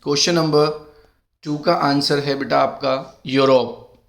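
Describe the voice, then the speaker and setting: A middle-aged male teacher with a sore throat, running an exam-prep lesson and calling his students beta while reading questions out slowly. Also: medium pitch, flat delivery, creaky. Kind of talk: exam-prep lesson in Hindi mixed with English.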